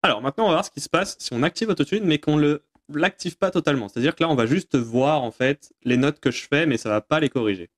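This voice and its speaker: A man's voice: fairly high pitch, animated, quick pace, few pauses. Casual, hesitant man giving a demo. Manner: informal, hesitant, self-deprecating. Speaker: tutorial presenter answering viewer questions. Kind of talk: casual software demo with off-the-cuff explanation.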